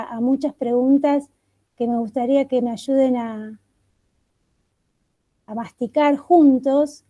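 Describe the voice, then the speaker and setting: A woman speaks in a high, sharply bright voice. She leads an online catechesis workshop over a video call, working through one question after another with thoughtful pauses. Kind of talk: reflective online workshop talk.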